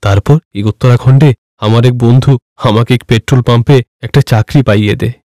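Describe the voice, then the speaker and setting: A man's voice: medium pitch, fairly even intonation, brisk, mellow, dry recording, clean studio sound, close to an everyday voice. A man voicing a poor, anxious and humble village father in a cartoon story. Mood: sorrowful, amazed.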